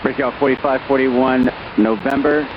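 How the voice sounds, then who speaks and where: A man's voice: mid-range voice, fairly even intonation, moderate pace. Man on muffled, noisy aviation radio. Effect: aviation radio transmission. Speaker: air traffic ground controller. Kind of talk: live radio taxi instructions in clipped phraseology.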